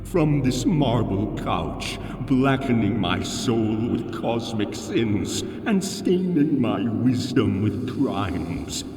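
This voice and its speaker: An actor playing an ancient, enslaved alien god in a fantasy audio drama, solemn and sorrowful, with grandiose archaic phrasing. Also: male voice, lowish voice, a little mellow. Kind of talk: full-cast fantasy audio drama monologue. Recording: cavernous echoing reverb, distant, music underneath. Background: music.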